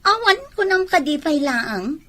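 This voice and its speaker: High, swooping, sly Filipino mistress. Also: female voice, big pitch swings, a little slow, fairly bright tone, heavily acted. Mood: goading. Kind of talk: radio drama acting.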